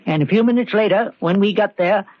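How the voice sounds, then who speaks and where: A man's voice: sits high, a little fast, natural voice. An actor playing a stubborn, doubting country handyman with a rural American accent and a folksy, hesitant but dogged drawl, in old radio drama style. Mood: puzzled, dogged. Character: stubborn, doubting, slow-reasoning rustic handyman. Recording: dull, band-limited old radio recording.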